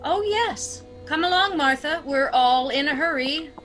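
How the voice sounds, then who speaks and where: Radio drama old lady female actor: proper, firm maiden aunt, high and crisply bright, courteous. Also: expressive, a little slow, faint music behind. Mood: reluctant hospitality. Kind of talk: old-time radio play acting.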